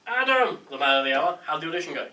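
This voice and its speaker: Sceptical, sarcastic friend, read by a man, bright and fast, slightly distant, amateur comedy read. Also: on the high side, big pitch swings. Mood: calling out. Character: sceptical male cinema worker, wry, exasperated friend. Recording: distant mic, faint room noise.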